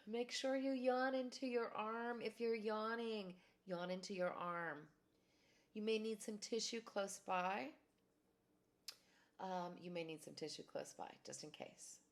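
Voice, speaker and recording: A woman guiding a tapping exercise, calmly giving steps and phrases to repeat. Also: medium pitch, measured pace. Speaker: tapping practitioner.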